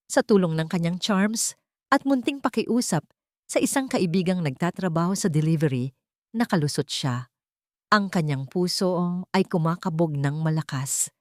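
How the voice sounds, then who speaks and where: A woman's voice: lowish voice, darkish tone, no echo, clean studio sound. A woman with a Filipino accent reading a scripted, dramatic story as its narrator.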